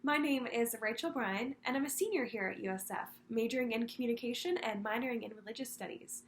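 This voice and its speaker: A young woman from Florida with a congested voice from a cold, earnestly telling her story with halting, repeated words. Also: fairly high pitch. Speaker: student candidate. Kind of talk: prepared personal video statement.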